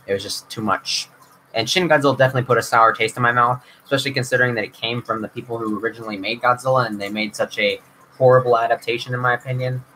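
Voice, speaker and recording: Young man, bright voice, rambling livestream Q&A, faint background noise. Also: medium pitch, fairly even intonation, fast talker, rough recording. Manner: rambling, filler-heavy, frequent restarts. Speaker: YouTube channel host. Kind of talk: casual livestream Q&A.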